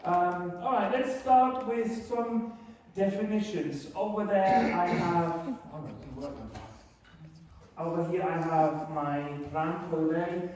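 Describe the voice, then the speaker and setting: A German-born teacher leads a workshop in a conference room, joking at his own expense between points. His voice sits fairly high for a man and rings around a very echoey room. He is picked up from a distance, with faint noise underneath. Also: slow, darkish tone. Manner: self-deprecating, joking.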